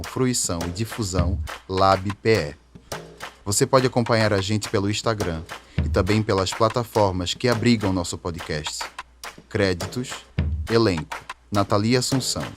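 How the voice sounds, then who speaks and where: Male announcer, lowish voice, scripted credits read over a clear musical bed. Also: moderate pace. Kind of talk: scripted outro and credits reading. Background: music under the voice.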